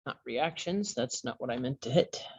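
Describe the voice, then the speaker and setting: A man teaching a class over a screen share, talking in a halting way with many fillers and restarts. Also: medium pitch, expressive, slightly rough. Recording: dull lo-fi sound.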